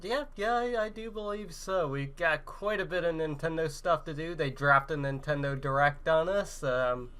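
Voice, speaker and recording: A man's voice: a little high, moderate pace, few pauses, sharp tone, smooth. A man hosting a podcast in a casual, chatty style. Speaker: podcast host.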